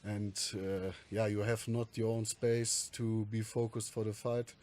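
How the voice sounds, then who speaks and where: A man answers fluently and matter-of-factly. His voice sits fairly low and stays even, with little movement in pitch. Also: a little slow. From Germany. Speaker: MMA fighter guest. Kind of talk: interview answer.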